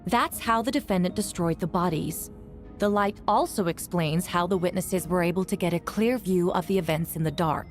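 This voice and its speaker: A woman playing a composed, firm female knight prosecutor in a video game, speaking formally and deliberately. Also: lowish voice, faint music behind, lightly acted. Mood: firm, resolute.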